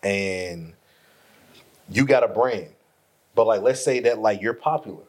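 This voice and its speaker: A man's voice: on the low side, a little slow. A man co-hosting a casual podcast chat, who says he stutters and often restarts his words. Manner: laughing, restarting and repeating himself. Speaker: podcast co-host.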